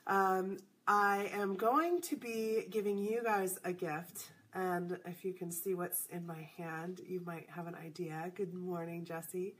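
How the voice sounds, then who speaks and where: Slow-spoken congested woman host. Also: lowish voice, fairly even intonation, poor audio. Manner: soft, hesitant, a little nervous. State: congested, voice deeper than normal. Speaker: livestream host. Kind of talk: casual spiritual livestream chat.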